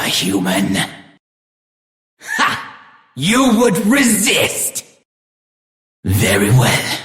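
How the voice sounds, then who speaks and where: An actor playing a haughty, wrathful magic-wielding fiend in a theatrical video game performance. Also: male voice, sits high, gravelly, pushed and bright, reverberant, full character voice.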